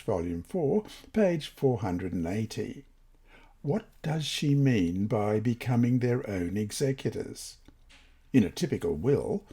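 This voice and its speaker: A man's voice: medium pitch, warm tone, slightly rough. A man reading a scripted religious study lesson as a volunteer presenter. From Australia.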